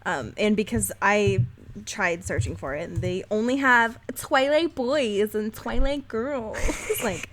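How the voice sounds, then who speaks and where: A woman's voice: mid-range voice, a little slow, slight background noise. A woman co-hosting a podcast in casual, joking conversation. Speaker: podcast co-host.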